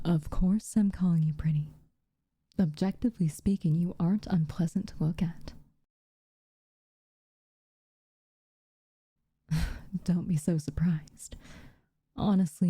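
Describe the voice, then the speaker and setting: A woman voicing a guarded, haughty yet secretly tender witch in an ASMR roleplay, softly and breathily, with slow, formal phrasing. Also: on the low side, dry recording, studio quality. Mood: flustered, grudgingly tender. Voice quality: soft, breathy, often near-whispered. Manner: slow, formal, measured wording with reluctant warmth.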